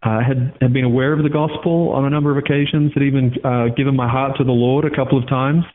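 An Australian man in his thirties, a guest talking casually and quickly, with rambling asides. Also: medium pitch, flat delivery. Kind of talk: casual interview over the phone. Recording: tinny phone line.

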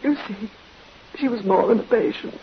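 A woman's voice: fairly high pitch, normal speed, lightly acted. A woman playing an anxious, proper nurse in vintage radio drama, formal and earnest. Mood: grieving, shaken. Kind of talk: 1950s radio drama acting. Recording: dull old radio recording with hiss.

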